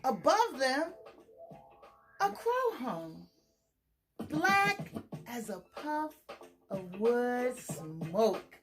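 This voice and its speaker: High voiced lady reading a kids book, super slow n dramatic, music playing. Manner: expressive, dramatic, drawn-out words. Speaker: storyteller reading a children's book aloud. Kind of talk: animated read-aloud storytime for children.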